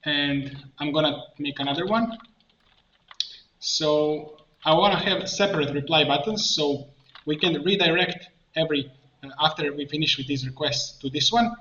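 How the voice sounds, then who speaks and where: Man, software tutorial, slightly high pitch, halting think-aloud delivery, echoey dull recording, keyboard clicks. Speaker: tutorial presenter, software coach. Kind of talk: improvised screen-share software tutorial. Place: indoor room at a computer. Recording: dull, echoey room, clear background noise. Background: keyboard typing and mouse clicks.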